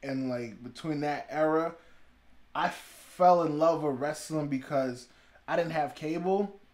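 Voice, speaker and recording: A man's voice: fairly high pitch, unhurried, a little mellow. A man from Haiti, a podcast guest talking in casual chat, reflective and musing, often trailing off and restarting.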